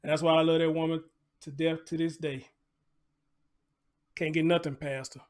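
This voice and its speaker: A man's voice: fairly high pitch, level tone, normal speed, darkish tone. An American man hosting a casual live commentary show, talking conversationally with preacher-like asides, repeated phrases and rhetorical questions.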